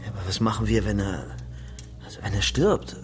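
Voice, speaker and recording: A man's voice: fairly low pitch, fairly quick, natural voice. Actor as guilt-ridden young man, music behind. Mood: uneasy. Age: young adult. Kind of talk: German crime radio drama, first-person narration.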